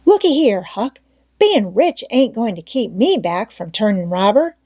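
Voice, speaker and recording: A woman voicing an excitable, scheming boy gang leader in a storybook, emphatic and persuasive. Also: medium pitch, a little slow, breathy edge, no room sound, studio quality. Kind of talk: storybook character voice in audiobook reading.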